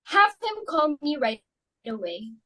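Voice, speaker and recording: A young woman reading the part of an excitable, fashionable society matron hosting a dinner party, fussy and emphatic. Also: sits high, exaggerated voice. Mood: fussing. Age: young adult. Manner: fussy, emphatic, quick to exclaim. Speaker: reader for Mrs. Pringle. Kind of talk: student dramatic reading.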